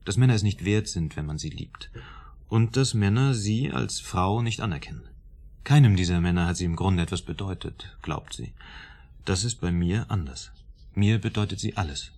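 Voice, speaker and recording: Deep voiced male therapy patient in a radio play, proud troubled loner, earnest and measured. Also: a little mellow. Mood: earnest, devoted. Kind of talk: German radio drama acting.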